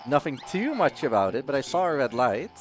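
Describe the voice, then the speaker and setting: Lively non-native English speedrunner, a man. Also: medium pitch, brisk, poor audio. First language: not English. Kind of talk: casual live gameplay commentary. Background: video game music.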